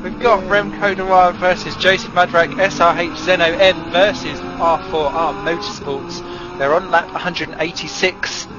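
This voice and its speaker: A man's voice: high voice, steady tone. A man giving chatty, joking play-by-play commentary on a sim race. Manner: chatty, jokey, fast play-by-play. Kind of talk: sim racing live commentary. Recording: muffled stream audio with music behind.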